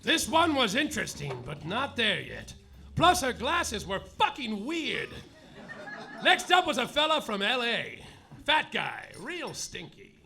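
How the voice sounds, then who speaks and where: Bright, gravelly-voiced man narrating noir comedy. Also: very high voice, a little slow. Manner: hardboiled noir narration, deadpan, with sudden yelled outbursts. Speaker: lead performer in a live comedy play, as the detective. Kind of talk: live staged radio-noir comedy, narrating and acting. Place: live theater stage.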